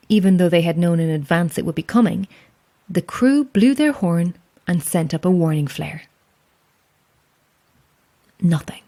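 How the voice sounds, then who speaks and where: A woman playing a solitary, calm lighthouse keeper with an Irish accent in a horror audio drama, speaking in a measured, understated way. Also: fairly low pitch. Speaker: lighthouse keeper and narrator of a tale.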